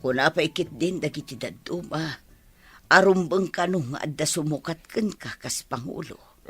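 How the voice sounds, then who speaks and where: An actress with a Filipino accent playing an older village mother in a radio drama, caring and anxious about her family, with a husky voice. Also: deep voice, moderate pace, fairly bright tone, faint music behind, full character voice. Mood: worried. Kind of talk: Ilocano radio comedy drama acting.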